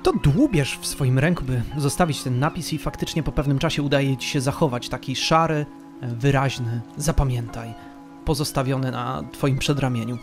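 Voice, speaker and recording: A man narrating a tabletop fantasy game as game master, storytelling with dramatic, deliberate pauses. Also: mid-range voice, over music.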